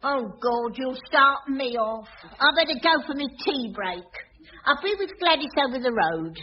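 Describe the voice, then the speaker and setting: A woman's voice: high-pitched, animated, normal speed. An older woman playing a chirpy, tactless cleaner, chatting colloquially in a 1980s radio sitcom. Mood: coaxing. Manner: chatty, colloquial, comic timing. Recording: muffled old radio recording, loud audience. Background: studio audience.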